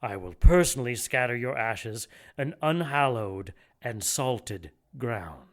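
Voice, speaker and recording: Pompous inquisitor, mid-pitched, formal, menacing, gloating, audio drama villain. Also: male voice, lively intonation, takes it slowly. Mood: stern, threatening. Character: menacing, pompous male zealot leader of an inquisition order.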